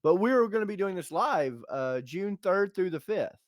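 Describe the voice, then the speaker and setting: A man's voice: high voice, expressive, normal speed, no echo, clean studio sound. An American man co-hosting a livestream podcast, joking and rambling in casual unscripted talk, often repeating himself. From United States.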